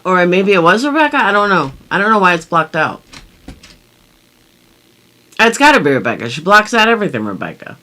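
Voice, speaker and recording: A woman hosting a commentary video, reading a document aloud with sarcastic, theatrical asides and drawn-out emphasis. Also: lowish voice, sing-song, sharp tone.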